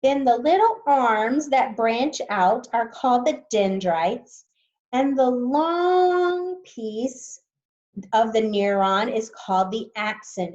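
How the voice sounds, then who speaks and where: A woman's voice: a little high, a little mellow, highs cut off. A woman teacher reading a science text aloud to students, patiently explaining new words as she goes.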